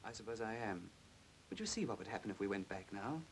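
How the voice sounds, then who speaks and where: An actor playing a smooth, manipulative upper-class English captain in his thirties in a 1940s British film drama. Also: on the low side, animated, quick pace, poor audio. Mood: persuasive. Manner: suave, persuasive. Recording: hissy old film soundtrack.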